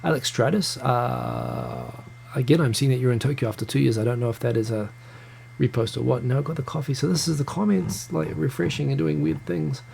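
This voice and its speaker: A man's voice: medium pitch, animated, fairly quick, gravelly, a little mellow. NZ-accented male host, casual live-stream chatter, greets viewers by name, stumbles and restarts, faint hum. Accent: New Zealand. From New Zealand. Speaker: live show host reading viewer comments. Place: home room in Tokyo.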